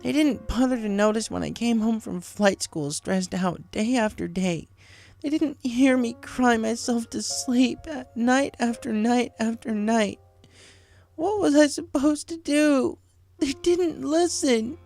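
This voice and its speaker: A man voicing a hurt, defiant young female pegasus pony in a storybook reading, gravelly and bitterly confiding. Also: extremely high pitch, unhurried, darkish tone, soft background music, pitched above the performer's own voice, exaggerated voice. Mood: anguished. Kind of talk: acted storybook dialogue.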